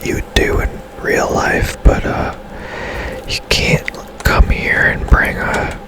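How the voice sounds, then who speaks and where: Guy doing whispery asmr gaming, super slow and unhurried, just casually rambling while he plays. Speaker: gaming streamer narrating own gameplay.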